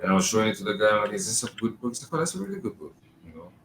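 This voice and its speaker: Man, very deep voice, laid-back podcast chat, rambling, swears a lot. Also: big pitch swings, rapid-fire, noisy background. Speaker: podcast co-host. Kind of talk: casual chat.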